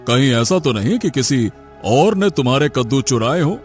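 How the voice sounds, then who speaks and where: A man's voice: mid-range voice, expressive, normal speed, soft background music, close to an everyday voice. A man playing an authoritative village headman judging a dispute in an audio moral story. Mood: measured, questioning.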